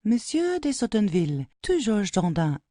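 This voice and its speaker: A woman's voice: mid-range voice, very animated, normal speed, mellow, no echo, clean studio sound. A woman narrator reading out scene headings and stage directions from a script.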